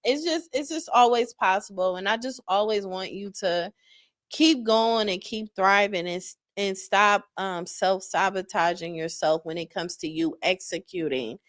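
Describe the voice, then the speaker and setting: A woman in her forties hosts a casual podcast chat, encouraging and warm in her words, often restarting her sentences. Her voice sits at an ordinary middle pitch. The sound is close and dry, with no room around it. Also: no room sound. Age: 40s. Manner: encouraging, talks in quick bursts with repeated starts. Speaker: podcast host.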